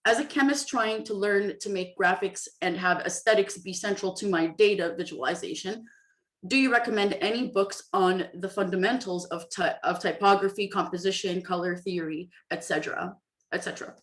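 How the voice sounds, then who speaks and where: A woman's voice: medium pitch, moderate pace. A woman from Canada moderating a Q&A and reading out audience questions, friendly and a little self-conscious.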